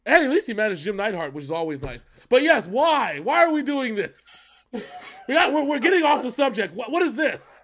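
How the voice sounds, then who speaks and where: A man hosting a music reaction show, giving casual, excited, jokey commentary that rambles and repeats phrases. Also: very high voice, moderate pace.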